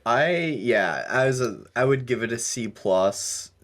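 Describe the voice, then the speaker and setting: A man co-hosting a podcast, discussing casually in a hesitant, halting way. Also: medium pitch, expressive, fairly bright tone. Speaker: podcast co-host.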